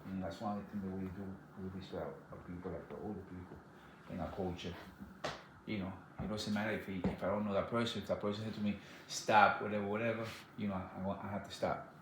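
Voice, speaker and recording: A man with a Dominican accent talks in a fairly low voice. He answers questions in loose, repetitive bursts. The echoey room carries a faint hiss of background noise. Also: fairly even intonation. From Dominican Republic. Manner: halting, repeats words, many "like" and "you know". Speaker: interviewee. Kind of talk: casual interview answers.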